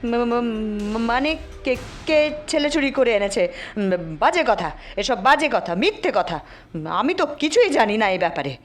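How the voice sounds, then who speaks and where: Shrill, high-pitched distraught mother played by a woman. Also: very animated, a little slow. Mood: flustered denial. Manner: stammering, frantic, pleading. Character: distraught, furious mother of a missing boy. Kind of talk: Bengali audio drama acting. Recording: music behind the voice.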